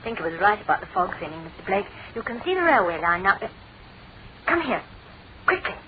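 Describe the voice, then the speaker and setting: A woman's voice: medium pitch, very animated, a little fast, close to an everyday voice. A woman playing a curious, plucky companion of a detective in a radio drama, with an inquisitive manner. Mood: alarmed, urgent. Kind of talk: British radio drama acting. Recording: muffled, noisy old radio recording.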